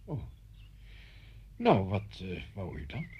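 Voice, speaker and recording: A man acting a flustered, halting householder who is anxious to oblige, in a radio play. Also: low voice, wildly swooping pitch, slightly rough, exaggerated voice. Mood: uneasy, polite. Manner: hesitant, halting. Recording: dull lo-fi radio play, faint noise.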